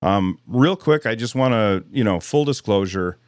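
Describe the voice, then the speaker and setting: A man hosting a live podcast in casual chat, a little hoarse, rambling and self-deprecating with frequent restarts. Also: fairly low pitch, lively intonation, moderate pace, darkish tone, no echo, studio quality. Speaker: podcast host.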